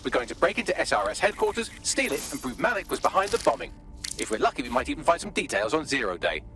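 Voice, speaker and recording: A man's voice: fairly high pitch, big pitch swings, quick pace, a bit bright. A man voicing a snarky, pedantic artificial intelligence assistant in a video game, dry and wordy. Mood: brisk briefing.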